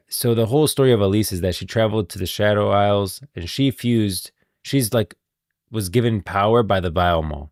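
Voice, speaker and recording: A man's voice: lowish voice, no room sound, clean studio sound. A man giving casual live stream commentary on game lore, musing and thinking aloud.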